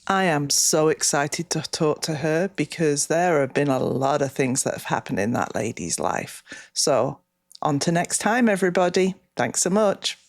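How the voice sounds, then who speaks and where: A woman's voice: sits low, animated, moderate pace, no echo. A woman co-hosting a podcast outro in a casual, playful, informal way. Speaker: podcast co-host.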